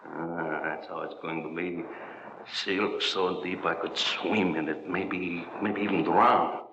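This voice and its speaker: An actor in an old radio crime drama playing a bitter, cocky ex-convict who taunts and boasts with quiet menace. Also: male voice, deep voice, fairly even intonation, slow pace. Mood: mocking, dreamy. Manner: mocking, boastful, refers to himself by name. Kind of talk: 1940s-50s radio crime drama acting. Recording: muffled old radio recording, echoey, faint noise.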